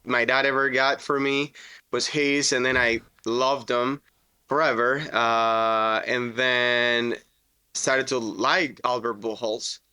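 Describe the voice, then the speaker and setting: A man in his thirties from Venezuela with a Venezuelan Spanish accent, telling his story as a podcast guest, relaxed with many fillers. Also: mid-range voice, slow, clear, resonant tone, no room sound. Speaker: guest, roofing business owner. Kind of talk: casual interview talk.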